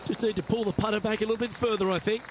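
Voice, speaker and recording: A man's voice: sits high, steady tone, very fast, slight background noise, close to an everyday voice. A man voicing a composed golf broadcast anchor in a video game, calling play as lead commentator. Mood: composed, upbeat.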